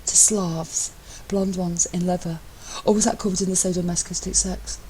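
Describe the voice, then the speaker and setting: A woman voicing a bland, deadpan young man who teases his husband with mock servility, in an audio story. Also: fairly low pitch, normal speed, slight background noise, pitched above the performer's own voice, lightly acted, poor audio. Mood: dry teasing.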